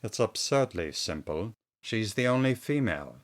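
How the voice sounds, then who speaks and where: A man's voice: fairly low pitch, very animated, moderate pace. A man playing a pompous, precise police inspector with a formal, easily exasperated manner in a comedy audio drama. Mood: formal, self-assured.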